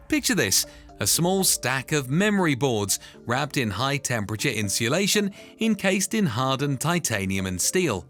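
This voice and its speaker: A man's voice: on the high side, a bit bright. A man narrating a scripted explainer in an emphatic, dramatic way that builds suspense.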